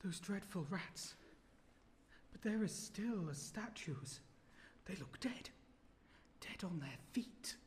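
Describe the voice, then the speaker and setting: A man playing a frightened young gentleman protecting his sweetheart in a radio horror drama, urgent, stammering and melodramatic. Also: sits high, lively intonation, slow, pauses often, in a whisper. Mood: hushed dread.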